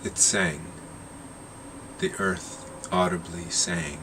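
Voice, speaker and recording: A man with a deep, low voice reads a short story aloud. His delivery is slow and measured, and he lingers over each phrase. A faint hum of air conditioning sits behind him. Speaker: narrator reading his own short story.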